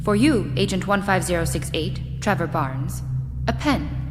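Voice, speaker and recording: An actress playing a dutiful goddess who keeps the scrolls, speaking ceremonially and precisely in an audio drama. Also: female voice, lowish voice, animated, measured pace. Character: formal, dutiful goddess, keeper of scrolls and protocol. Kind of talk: scripted audio drama acting. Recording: long hall reverb with music underneath.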